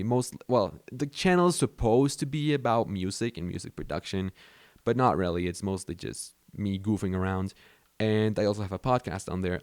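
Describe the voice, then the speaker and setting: A man's voice: deep voice, darkish tone, clear, resonant tone, no room sound. A young man from Mexico whose first language is Spanish, rambling casually about his camera gear with many fillers and restarts. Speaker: vlogger.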